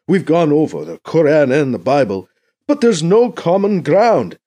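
A cautious, exasperated church negotiator in an audiobook, acted by a male narrator. He is earnest and measured in his arguments, with pitch that lifts and falls expressively. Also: big pitch swings, mellow.

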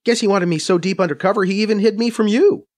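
A man's voice: sits high, fast, voice pushed up, natural voice. A man voicing a brash, profane female undercover agent who teases and swears freely, in an audiobook. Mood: wry. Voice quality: raised, lively, cocky voice. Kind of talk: audiobook character voice.

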